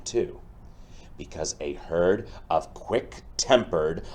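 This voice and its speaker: A man teaching through a storybook read-aloud, speaking deliberately and explaining things directly to his pupils. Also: lowish voice.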